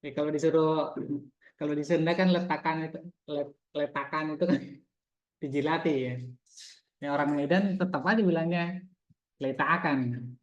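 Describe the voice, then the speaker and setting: A man's voice: on the high side, steady tone, normal speed. A man teaching Arabic in a classroom, chatty and repetitive, quizzing his students as he goes. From Indonesia. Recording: echoey room, dull lo-fi sound.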